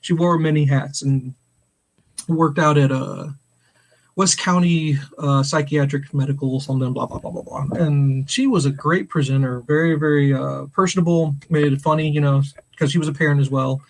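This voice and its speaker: A man's voice: a little high, fairly even intonation, normal speed. A man in his forties from St. Louis, co-hosting a podcast, telling rambling stories with plenty of laughter. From St. Louis, USA. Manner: rambling storyteller, laughs often, acts out quoted talk. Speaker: podcast co-host. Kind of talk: casual chat.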